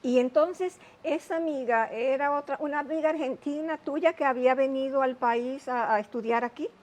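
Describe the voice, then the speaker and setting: A woman hosting a TV health interview show, speaking in a measured, explanatory way with many asides. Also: sits high, darkish tone.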